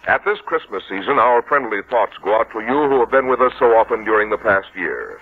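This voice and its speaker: A man's voice: fairly low pitch, very animated. Polished 1950s male radio announcer, tinny sound. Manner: formal, polished, deliberate phrasing. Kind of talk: 1950s sponsor announcing, scripted. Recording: tinny narrowband vintage radio, music behind.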